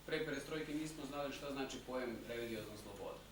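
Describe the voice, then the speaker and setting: A man's voice: medium pitch, fast talker. Man, consecutive interpreter, loose paraphrase, very echoey hall, hissy. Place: conference hall. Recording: very echoey hall, distant mic, audible hiss.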